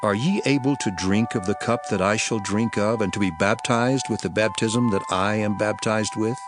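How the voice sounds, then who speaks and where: Solemn male teacher of parables actor, low voice with lively melodic swings, grave authority, music behind. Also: close to an everyday voice. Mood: solemn, authoritative. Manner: solemn, declamatory, measured. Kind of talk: dramatized scripture reading.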